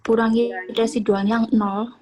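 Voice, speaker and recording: A woman's voice: on the high side, little pitch movement, measured pace, mellow. A young woman with an Indonesian accent giving short answers as a student in a lesson. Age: young adult.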